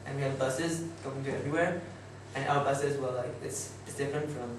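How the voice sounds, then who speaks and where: A young man from Taiwan with a Taiwanese accent, giving an informal student talk in chatty, halting English and often repeating phrases. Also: mid-range voice, steady tone. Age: young adult. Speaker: student speaker. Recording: very echoey noisy room, distant mic.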